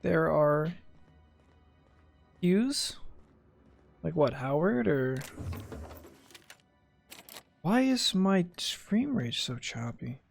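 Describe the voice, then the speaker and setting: A man's voice: on the high side, very animated, extremely slow, creaky, long pauses, faint music behind. A man doing casual gameplay commentary as a streamer, swearing and muttering to himself in short fragments. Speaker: video game streamer.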